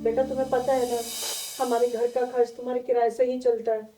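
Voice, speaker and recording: An elderly wife and landlady acted by a woman, worried and aggrieved. The voice sits fairly high, in the earnest melodrama of a short film, with music clearly playing behind. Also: little pitch movement, fairly quick, dark tone, lightly acted, low-quality recording. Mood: worried, complaining.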